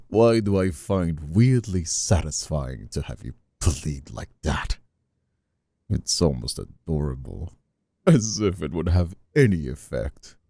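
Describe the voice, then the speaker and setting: A man playing a menacing, smug mobster brother in an audio roleplay, gravelly and husky, with mock-polite, drawn-out taunts. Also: very deep voice, sing-song, mellow, no echo, heavily acted. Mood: cruelly amused. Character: menacing, taunting mobster brother, escaped convict and crew leader. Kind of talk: scripted audio roleplay acting, ASMR.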